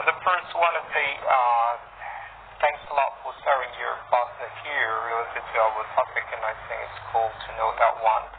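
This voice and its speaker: A man's voice: medium pitch, steady tone, moderate pace. A man talking casually and hesitantly, with many fillers, in a voice message. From Indonesia. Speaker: discussion moderator. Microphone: phone voice message. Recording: phone-like audio with clear noise.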